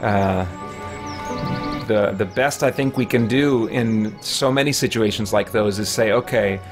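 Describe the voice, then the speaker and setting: A man in his thirties or forties chatting casually on a game livestream, thinking aloud in a halting way. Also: fairly low pitch, over music. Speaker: video game live streamer. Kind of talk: casual livestream chat while gaming. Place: small indoor room with shelves.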